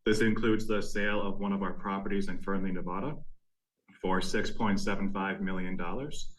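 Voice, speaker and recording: A man speaking as a company executive, delivering a prepared earnings presentation in a measured, formal way. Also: deep voice, steady tone, rough recording. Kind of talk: prepared corporate presentation, scripted narration.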